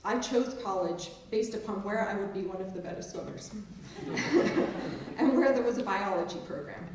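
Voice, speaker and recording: Woman, 40s, english-new jersey accent, chatty self-deprecating speech, booming hall reverb, mic set far off. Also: moderate pace, heavy background noise. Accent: mixed English and New Jersey, with some Maryland Eastern Shore. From England, raised in New Jersey. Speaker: professor giving a keynote address. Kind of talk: speech to an audience. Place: large auditorium stage. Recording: very echoey hall, distant mic.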